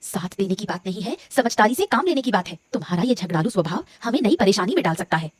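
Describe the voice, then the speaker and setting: A woman's voice: mid-range voice, sing-song, fast talker, slightly rough. A woman voicing an exasperated, sensible wife in a cartoon story, scolding wearily and emphatically. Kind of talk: Hindi cartoon story dialogue.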